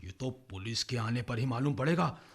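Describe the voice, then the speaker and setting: An actor playing an excitable, cowardly village gossip in a radio play, dramatic and dropping in English phrases. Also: male voice, mid-range voice, normal speed, lightly acted. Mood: alarmed.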